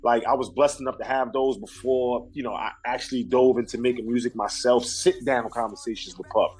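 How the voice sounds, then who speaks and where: A man from Brooklyn, a guest artist telling stories in a relaxed, slangy way, often restarting his sentences. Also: medium pitch, a little fast, faint music behind, poor audio. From Brooklyn, New York. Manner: laid-back storytelling with slang and restarts. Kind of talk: casual interview talk.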